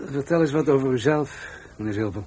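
An actor with a Netherlands Dutch accent playing a measured police detective in a radio play, politely probing in a dry manner. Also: male voice, medium pitch, darkish tone, slightly rough, close to an everyday voice.

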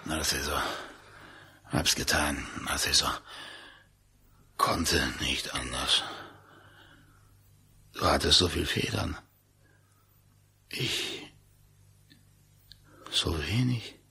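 An actor playing a dying wounded hunter in a radio drama, raspy and gravelly, pleading in halting breaths. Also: male voice, very deep voice, animated, sharp tone, full character voice. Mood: weak, pleading.

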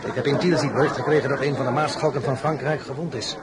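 A man's voice: medium pitch, steady tone, fast, lightly acted. Casting: male actor as imperious, sardonic French emperor for radio drama; pompous, commanding, crowd murmuring behind. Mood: mocking, grandiose. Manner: pompous, sardonic, theatrical shifts between address and aside.

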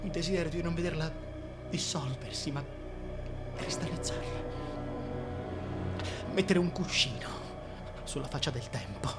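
A man's voice: measured pace, pauses often, loud music behind the voice. An actor playing a vain, self-pitying tyrant king in a theatrical, declamatory radio drama monologue, volatile and contemptuous. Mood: brooding, menacing. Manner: declamatory, volatile, contemptuous, swinging from hushed to shrill.

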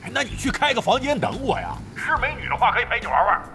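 A crude, swaggering older gamer taunts at length in a very high voice. He is brash and bandit-like, drenched in long reverb, with clear music behind him in this audio drama. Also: huge pitch swings, quick pace, heavily acted. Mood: mocking, lewd. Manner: brash, taunting, coarse-tongued and long-winded.

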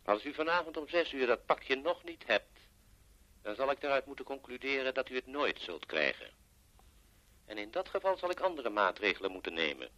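A man's hard, bright voice of medium pitch, with very lively intonation. He is a formal, coldly threatening kidnapper delivering his demands. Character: coldly threatening male kidnapper issuing an ultimatum. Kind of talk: 1970s Dutch radio drama acting.

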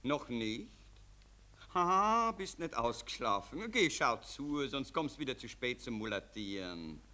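An actor playing a jovial, condescending Austrian army general dictating emphatically in a 1940s German radio play. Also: male voice, medium pitch, very animated, measured pace, pushed and bright. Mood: jovial, impatient. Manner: condescending, wheedling, emphatic dictation in telegram phrases.

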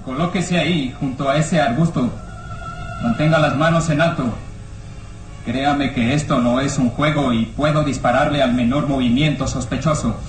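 An actor playing a stern, suspicious armed police inspector in an old radio serial, barking orders. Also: male voice, medium pitch, moderate pace, soft background music, natural voice, low-quality recording. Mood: threatening.

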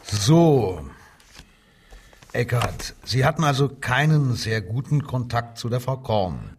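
An actor playing a bullying, sarcastic Nazi-era police commissioner interrogating a suspect in a radio crime drama, with a husky voice. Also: male voice, mid-range voice, big pitch swings, slow pace, far from the mic, slight background noise. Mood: cold, commanding. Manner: commanding, sneering, sarcastic.

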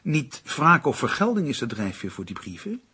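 Radio play: unruffled, decisive husband, matter-of-fact yet lively in tone, husky unclear recording. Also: male voice, mid-range voice, normal speed, heavily acted. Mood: firm, reasoning. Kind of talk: Dutch radio play acting.

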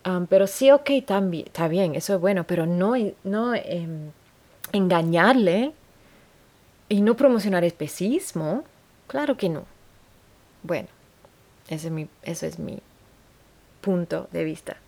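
A woman's voice: lowish voice, animated, a little slow, long pauses, darkish tone. A woman hosting a solo podcast in Spanish, which is not her first language, talking earnestly and often restarting as she searches for words. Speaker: podcast host.

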